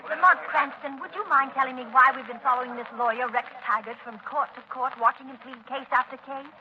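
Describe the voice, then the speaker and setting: Quick-talking old-radio lady sleuth's companion, played by an actress. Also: high-pitched, fairly even intonation, close to an everyday voice. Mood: curious, disdainful. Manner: earnest, questioning. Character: refined, curious female companion to a sleuth. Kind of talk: 1940s radio drama acting. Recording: dull, faintly noisy old radio recording.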